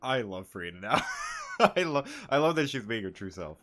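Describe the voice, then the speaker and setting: A man's voice: on the low side, lively intonation, brisk, heavy background noise. A man casually reacting to a game story, chatty and laughing, with muttered asides. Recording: game music playing behind.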